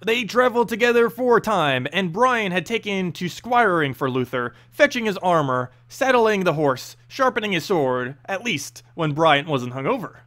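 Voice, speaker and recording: Guy reading a fantasy story out loud, real dramatic, slow and very up and down. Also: high voice. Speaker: narrator reading game lore. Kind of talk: expressive fantasy story narration.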